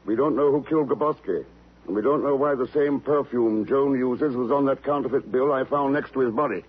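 A man's voice: mid-range voice, fairly quick, lightly acted. A man playing a cool, suspicious middle-aged secret agent in 1950s radio drama, speaking in clipped, probing lines. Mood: firm, suspicious. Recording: dull, noisy old radio broadcast.

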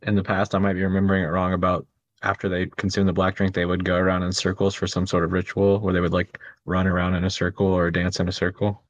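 A man's voice: low voice, completely flat delivery, fast talker, lo-fi sound. A man in casual conversation, talking bluntly and stumbling over his words.

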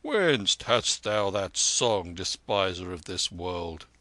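A man voicing an old, gruff and hearty veteran soldier with blunt, archaic speech in a storybook reading. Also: sits low, lively intonation, takes it slowly, pushed and bright, no room sound, pitched below the performer's own voice, exaggerated voice. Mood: teasing.

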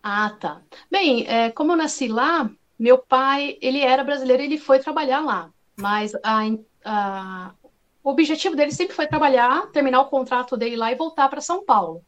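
A woman's voice: high-pitched, moderate pace, highs cut off. A woman with a Brazilian accent speaking casually and animatedly as a podcast guest, in an informal manner. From Brazil, moved from Paraguay as a toddler. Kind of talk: casual chat.

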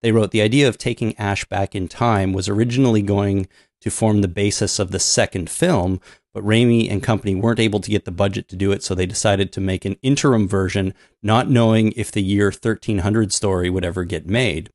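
A man from Canada co-hosting a podcast in casual chat, reading news items aloud in a relaxed way with many fillers. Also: fairly low pitch, a little fast, dry recording, studio quality. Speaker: podcast co-host.

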